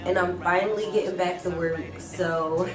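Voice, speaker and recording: A woman's voice: lowish voice, fairly even intonation, moderate pace. A woman chatting through a hair tutorial for her viewers, upbeat and casual, often saying "guys" and "like", her nose slightly stuffy from a cold. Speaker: hair and wig vlogger. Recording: roomy, mic at a distance, music behind. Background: background music.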